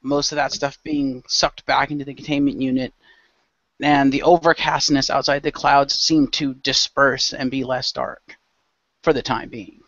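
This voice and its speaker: A man's voice: medium pitch, level tone, moderate pace, fairly bright tone, no echo. A man narrating scenes as game master in an improvised role play. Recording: dry, dull lo-fi audio.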